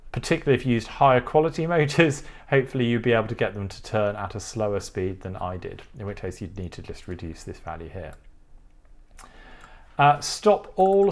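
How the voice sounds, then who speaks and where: Casting: male tutorial presenter, medium-pitched voice, methodical step-by-step explanatory delivery. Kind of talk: explanatory coding tutorial walkthrough.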